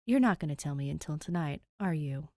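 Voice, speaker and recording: A woman voicing an animated, playful young ghost boy who likes to tease, in a storybook reading. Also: low voice, brisk, no echo, clean studio sound. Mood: teasing.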